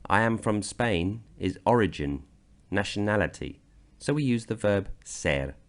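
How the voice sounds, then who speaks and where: A man from Britain narrating a slideshow grammar lesson, explaining slowly and deliberately. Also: fairly low pitch, lively intonation. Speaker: language teacher.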